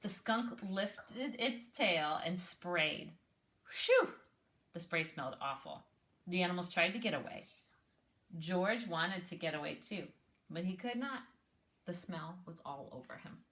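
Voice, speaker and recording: A woman reading a children's picture book aloud in an animated, encouraging way, pausing to prompt with questions. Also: fairly low pitch. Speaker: read-aloud host, speech therapist.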